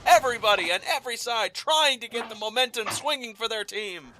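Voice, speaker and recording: Male esports caster, playful and dramatic, bright forceful tone, some background noise. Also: extremely high pitch, brisk. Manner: excitable, playful, dramatic phrasing. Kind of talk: live game commentary with casual banter.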